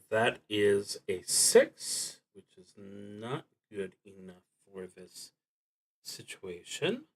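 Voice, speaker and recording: A man streaming a solo tabletop roleplaying game, thinking aloud in a halting, deliberate way that trails off between thoughts. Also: on the low side, sharp tone.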